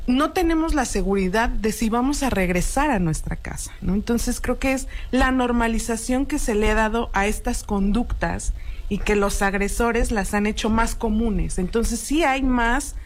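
A woman, a guest explaining her views in an earnest, steady way on a talk show. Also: on the high side, moderate pace. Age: 30s. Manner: earnest, explanatory, frequent tag questions. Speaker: guest expert. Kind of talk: radio talk show discussion.

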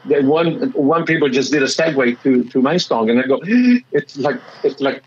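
A man with a slightly raspy voice, a musician chatting excitedly in an interview and often restarting his sentences. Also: mid-range voice, moderate pace, muffled recording. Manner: excitable, rambling with many restarts. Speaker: musician guest. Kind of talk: casual interview chat.

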